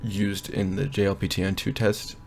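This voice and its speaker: Young man, deep-ish, gravelly, hesitant rambling, casual bedroom vlog. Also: level tone, slight background noise. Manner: rambling, hesitant, pauses to think aloud. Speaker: language learner vlogger. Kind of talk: casual progress update. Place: bedroom at home.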